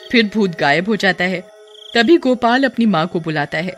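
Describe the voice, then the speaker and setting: A woman's voice: mid-range voice, animated, moderate pace, soft background music. A woman working as narrator, reading a scripted children's story.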